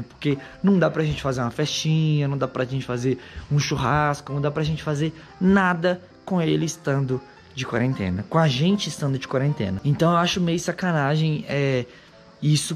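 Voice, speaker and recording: Young guy vlogging, hoarse voice, pretty high, camera at arm's length, faint music. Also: fairly quick. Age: young adult. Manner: chatty, addresses viewers directly. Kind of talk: casual vlog chat to camera. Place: outdoor patio, then a house kitchen. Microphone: handheld action camera at arm's length.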